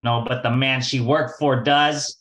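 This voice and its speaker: A man's voice: medium pitch, fairly even intonation, a little slow, a bit bright, close to an everyday voice. Man acting slangy, menacing radio gangster. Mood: menacing, wry. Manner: hard-boiled slangy delivery. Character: tough-talking male kidnapper, menacing 1940s gangster. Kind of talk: old-time radio crime drama acting.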